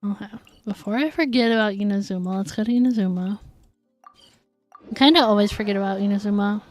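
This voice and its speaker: A woman's voice: mid-range voice, quick pace, faint music behind. A woman livestreaming a video game, chatting casually in an easily amused, giggly manner. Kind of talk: casual livestream gameplay chat.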